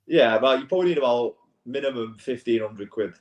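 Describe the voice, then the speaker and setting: A man's voice: medium pitch, brisk, lo-fi sound. A man in his late twenties speaks in a mid-range voice over a remote call that sounds roomy and hollow. He keeps it easy and sweary, saying mate in almost every line. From England. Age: 20s. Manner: laid-back, swearing, says mate a lot. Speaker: guest. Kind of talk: casual chat. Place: an apartment in Bangkok.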